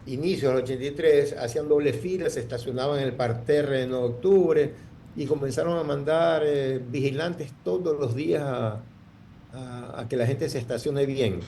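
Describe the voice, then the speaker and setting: A man's voice: medium pitch, normal speed, mellow, slight background noise. A man, telling his story in an interview, rambling with many restarts. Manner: rambling, full of restarts and fillers. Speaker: guest entrepreneur.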